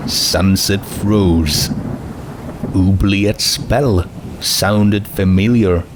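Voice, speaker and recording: A man's voice: low voice, fairly even intonation, extremely slow, noisy background. A man narrating a scripted fiction story as its reader.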